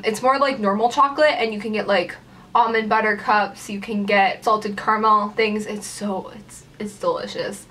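A woman's voice: mid-range voice, a bit bright, far from the mic, slight background noise. A young woman vlogging casually at home, rambling with lots of "like" fillers while gesturing. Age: young adult. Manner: chatty, lots of "like" fillers, gestures with her hand. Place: small room at home, indoors by a door.

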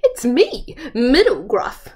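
A bony, cheeky storybook billy goat, voiced by a woman. He bleats in a highish voice with lively swings. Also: slow pace, exaggerated voice.

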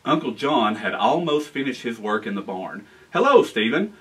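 An American man in his forties reading a children's storybook aloud in an animated, expressive way. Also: fairly low pitch, moderate pace, distant mic, slight background noise, poor audio. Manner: animated, expressive reading with asides to young listeners. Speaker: guest storyteller, town official. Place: library room with bookshelves, indoors.

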